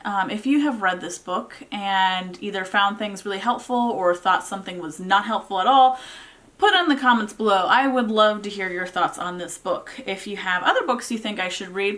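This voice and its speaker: A woman's voice: medium pitch, pushed and bright. Casual woman chatting through a review. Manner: conversational, informal, thinking aloud. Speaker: book reviewer, vlog host. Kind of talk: casual unscripted review talk to viewers.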